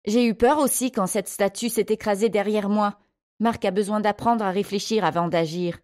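Stern, furious woman scolding children, as voiced by a female narrator, raised pitch, commanding, audiobook drama. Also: fairly even intonation, normal speed, lightly acted. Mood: indignant. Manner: sharp, commanding shouting.

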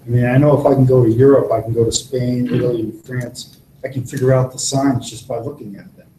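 A man's voice: medium pitch, fairly even intonation, fairly quick, mellow, slight background noise. A man taking part in a classroom discussion, speaking up conversationally from his seat. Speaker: seminar participant. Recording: distant echoey room pickup.

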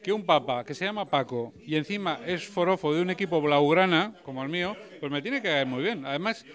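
A man's voice: on the high side, animated, brisk, a bit bright. A middle-aged man from giving casual interview answers indoors into a handheld microphone. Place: indoor lobby. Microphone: handheld reporter microphone.

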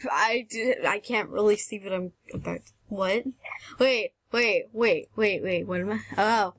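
A woman's voice: mid-range voice, unhurried, a bit bright, no room sound. A woman chatting casually and playfully between dubbing takes, poking fun at herself.

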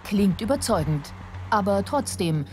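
Female voice-over, mellow and steady, documentary read over faint music. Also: mid-range voice, measured pace. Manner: even, informative. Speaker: documentary narrator. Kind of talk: scripted narration.

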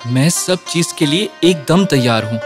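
An actor playing an eager, confident young man with an excitable manner in a children's fairy-tale cartoon. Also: on the high side, music in the background, lightly acted. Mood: lovestruck, eager.

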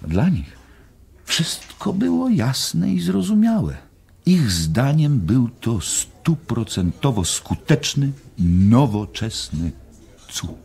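An actor in a radio play as a long-winded, wry American war correspondent telling a rambling story. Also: male voice, mid-range voice, very animated, slow, creaky, a little mellow, breathy edge, full character voice.

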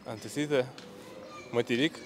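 A man in his twenties giving quick, flat answers to street quiz questions. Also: mid-range voice. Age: 20s. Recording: street noise clearly heard.

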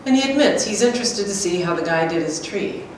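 A woman's voice: medium pitch, lively intonation, fairly quick, natural voice. A woman, middle-aged, reading the part of a plain-spoken, chatty companion in a staged script reading. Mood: gossipy. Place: small hard-walled gallery room, indoors. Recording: very echoey room, distant, faint noise.

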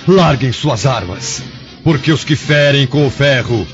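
A brave, fatherly apostle voiced by a man exhorts solemnly and calmly in a radio drama. His medium-pitched voice sits in dull audio with music behind it. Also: measured pace, natural voice. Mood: solemn exhortation.

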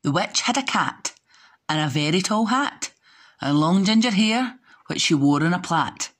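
A woman reading a children's picture book aloud as a storyteller, dramatic and deliberate. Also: fairly low pitch, animated.

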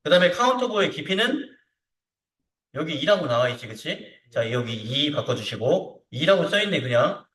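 A man teaching a hands-on software lesson step by step, informally, often checking with short tag questions that the learner is following. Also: a little high, sing-song, normal speed. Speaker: CAD instructor. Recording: roomy echo, distant microphone.

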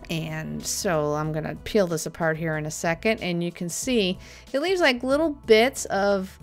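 A middle-aged woman giving a casual, chatty product review from her workshop, upbeat and quick to laugh, with plenty of uh fillers. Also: mid-range voice, fairly bright tone. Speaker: prop maker reviewing a tool. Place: home workshop studio, indoors.

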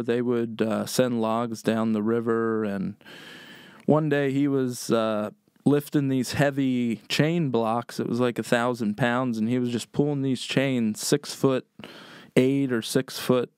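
Rambling male storyteller, smooth dry voice. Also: mid-range voice, measured pace, a little mellow, dry recording. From Pennsylvania, US. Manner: rambling, many "um"s, laid-back storyteller. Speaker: podcast guest, chef. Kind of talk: casual storytelling.

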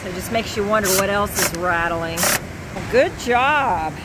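A woman's voice: medium pitch, expressive, normal speed, heavy background noise. A woman in her fifties chatting on a casual travel vlog, teasing and talkative, recorded on an action camera. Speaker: travel vlogger, co-host. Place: outdoors and inside a motorhome.

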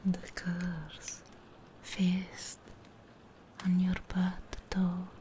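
Very slow whispering young woman, ASMR witch. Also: lowish voice, monotone. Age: young adult. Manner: hushed, breathy whispering, drawn-out phrases. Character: charming, persuasive, eerie witch performing a cleansing ritual. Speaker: ASMR performer in witch roleplay. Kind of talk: ASMR roleplay, soft spoken to whispered. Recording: close-miked whispers with clear background noise.

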